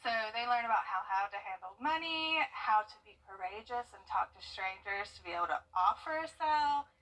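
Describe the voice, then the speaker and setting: A woman's voice: medium pitch, lively intonation, brisk, pushed and bright, distant mic, low-quality recording. A woman, a community engagement manager, explaining things upbeat in a news interview. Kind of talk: interview soundbites.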